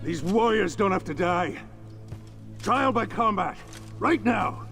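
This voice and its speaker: Haughty battlefield commander: high-pitched, declamatory, widely swinging delivery for game cutscenes. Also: male voice, lively intonation, slow pace, a bit bright, faint music behind, exaggerated voice. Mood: defiant. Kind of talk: video game cutscene acting.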